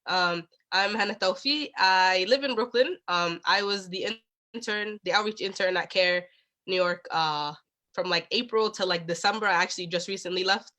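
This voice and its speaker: Bright-voiced young woman chatting casually. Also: mid-range voice, normal speed. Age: 20s. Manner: informal, peppered with "like" and "you know". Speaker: guest, college student and former intern. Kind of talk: casual chat. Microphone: video call.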